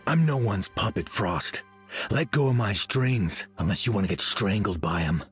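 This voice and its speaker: Very husky, brooding ex-assassin. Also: male voice, on the low side, expressive, moderate pace, faint music behind. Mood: guarded, menacing. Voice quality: husky, raspy. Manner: terse, threatening.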